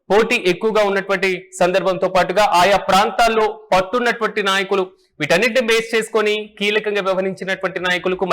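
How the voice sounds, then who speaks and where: A man's voice: sits high, fairly bright tone. A man presenting news and political analysis in a formal, repetitive manner.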